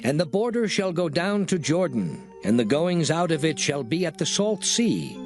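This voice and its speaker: An actor voicing the Lord's laws in a dramatized scripture reading, measured and declaiming. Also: male voice, on the high side, sing-song. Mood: solemn. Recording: studio voice over music bed.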